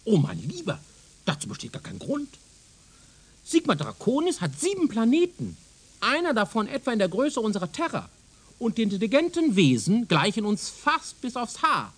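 A self-important professor in a radio drama. His voice is high and swoops animatedly as he lectures with condescending emphasis. There is a husky rasp to it, and he sounds thoroughly sure of himself. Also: huge pitch swings, moderate pace, exaggerated voice. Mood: confident. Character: pompous, self-assured male museum professor, excitable and condescending. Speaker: radio play actor. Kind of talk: German radio drama acting.

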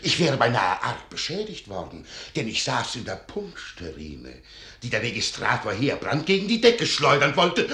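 Eccentric old archivist wizard, imperious, declamatory, animated, echoey radio drama sound. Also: male voice, on the low side, heavily acted. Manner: theatrical, declamatory. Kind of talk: German radio drama acting.

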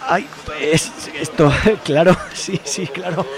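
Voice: mid-range voice, slow. A performer playing a jittery, chatty male market butcher who stammers when caught off guard, over crowd murmur. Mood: flustered. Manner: flustered, stammering, chirpy. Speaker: character in a radio serial.

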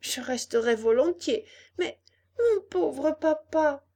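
An eager little wooden puppet boy from a storybook, voiced by a woman, high and swooping. He speaks in an earnest, excitable and naive way, with lively rises in pitch. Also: takes it slowly, exaggerated voice. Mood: eager, joyful.

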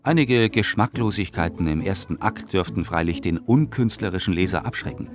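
Male radio-play reader, lowish voice, highly varied melody, theatrical measured delivery of scripted documents. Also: animated, music in the background. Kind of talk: scripted dramatic reading of historical letters and documents. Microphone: close studio microphone.

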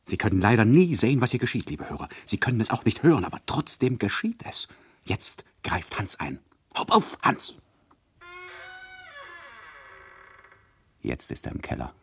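An actor playing a mocking, bossy narrator of a satirical radio play, addressing listeners with mock solemnity and condescension. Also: male voice, fairly low pitch, huge pitch swings, brisk, breathy edge, no room sound, exaggerated voice. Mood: confiding.

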